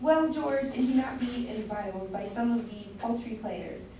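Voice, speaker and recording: A woman's voice: fairly high pitch, normal speed, close to an everyday voice. A woman as a gossipy citizen's wife, echoey. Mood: proud, boastful. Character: chatty, opinionated London citizen's wife. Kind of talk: amateur staged reading of Jacobean comedy. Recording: echoey room from far off, background noise.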